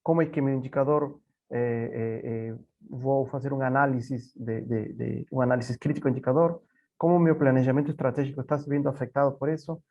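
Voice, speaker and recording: A man from Peru speaking Portuguese with a Peruvian Spanish accent, giving a halting conference presentation over a video call, often repeating words and saying "eh". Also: medium pitch, warm tone. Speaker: panelist, software company representative. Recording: dull lo-fi call audio.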